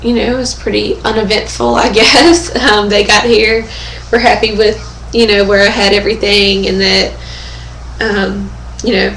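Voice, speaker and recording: A young woman with a hoarse, fading voice, chatting casually in a webcam update from home and often pausing with fillers and throat clearing. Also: medium pitch, level tone, a bit bright, a little hiss or hum. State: hoarse, losing her voice. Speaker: vlogger. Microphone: webcam microphone. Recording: echoey room on a webcam.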